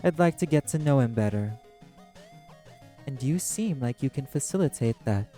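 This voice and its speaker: Guy doing proud old arch-demon running a casino, smooth mellow tone, haughty theatrical drawl, music playing behind. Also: medium pitch, expressive, moderate pace, voice pushed up, lightly acted. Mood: sly, bargaining. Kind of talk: scripted audio roleplay monologue.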